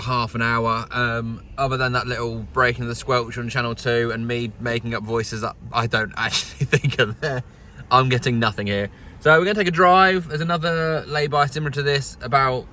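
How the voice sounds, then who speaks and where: A man's voice: mid-range voice, sharp tone. A British man with a mid-range voice talks casually to camera as a hobbyist host. His delivery is conversational and wry, with understated humour. He is speaking from inside a parked pickup truck. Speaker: radio hobbyist vlog host. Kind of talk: casual vlog chat to camera.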